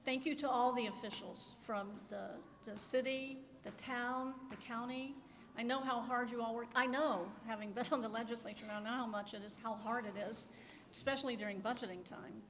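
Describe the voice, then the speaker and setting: Older soft-voiced woman giving thanks. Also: on the high side, fairly even intonation, moderate pace, slight background noise. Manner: gracious, grateful. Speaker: retired library director. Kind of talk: ceremony speech. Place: library hall, live event. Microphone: PA microphone.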